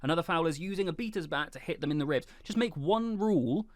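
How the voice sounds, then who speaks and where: A man's voice: fairly high pitch, expressive, fast, no echo, studio quality. A man hosting a casual commentary, chatty and opinionated, reacting to suggestions and stressing his points emphatically. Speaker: host of a fan commentary show.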